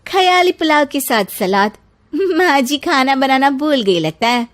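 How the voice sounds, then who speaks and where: Very high voice, woman playing young daughter-in-law, sassy, sarcastic, argumentative, cartoon comedy. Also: expressive, unhurried. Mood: snippy. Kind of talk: cartoon family comedy acting.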